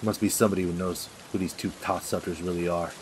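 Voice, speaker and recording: A very low, monotone voice with faint rain noise behind it. A crude, suspicious gangster played by a man grumbles in streetwise comic-dub dialogue. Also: fast, close to an everyday voice. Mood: grumbling. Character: gruff, suspicious mob thug, grumbling and crude.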